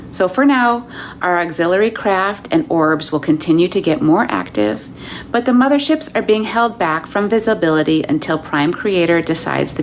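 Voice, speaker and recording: Earnest low-voiced woman reading messages. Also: creaky, a little hiss or hum. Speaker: self-styled channeler.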